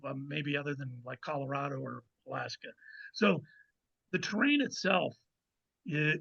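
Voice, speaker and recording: A man speaking as a guest author in a conversational interview, explaining things in a measured way with pauses to find his words. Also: on the high side, gravelly, bright, forceful tone, lo-fi sound. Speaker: guest novelist.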